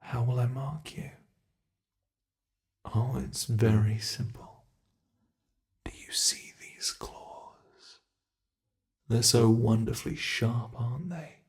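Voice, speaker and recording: A man performs a centuries-old monster in an ASMR roleplay. It is a cruel, playful predator that taunts its prey in a gravelly voice. He speaks slowly and deliberately, often sinking into close, menacing whispers. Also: medium pitch.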